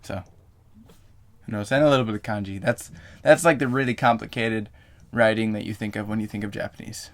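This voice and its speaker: A man's voice: fairly low pitch, steady tone, a little fast. A young man vlogging to camera in a casual, rambling way, full of fillers and self-corrections.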